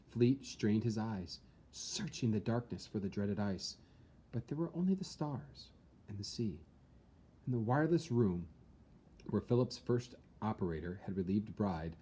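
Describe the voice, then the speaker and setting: A man reading a historical account as dramatic scripted narration, in a measured, theatrical storytelling manner. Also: lowish voice, dark tone, husky. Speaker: reader, narrator.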